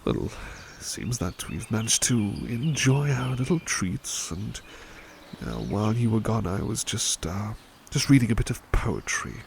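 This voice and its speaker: A man voicing a doting butler in a soft-spoken ASMR roleplay, talking gently and soothingly with hesitant restarts. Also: on the low side, sing-song, a little slow, gravelly, slight background noise.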